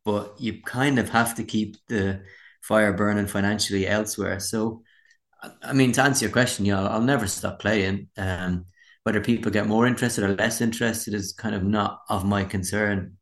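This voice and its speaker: A man's voice: fairly low pitch, fairly even intonation, moderate pace. An Irish man speaking as a musician in a casual interview, candid and reflective. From Ireland.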